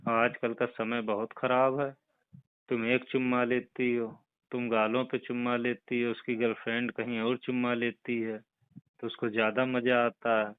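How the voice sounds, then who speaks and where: A man's voice: mid-range voice, level tone, normal speed, clear, resonant tone, dry recording, natural voice. A man playing a flirtatious boss in a phone conversation, coaxing and often checking that he is being followed. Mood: lecturing, familiar. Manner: coaxing, repeatedly checks for agreement. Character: flirtatious male boss coaxing a former employee back. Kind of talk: staged phone call conversation. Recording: tinny phone line.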